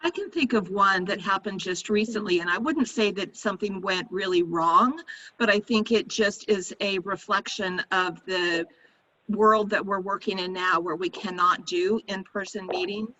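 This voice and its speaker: Bright-voiced woman on a muffled call. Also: mid-range voice. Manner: measured, explanatory. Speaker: panelist. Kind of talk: panel discussion on video call. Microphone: video call. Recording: dull lo-fi video call audio.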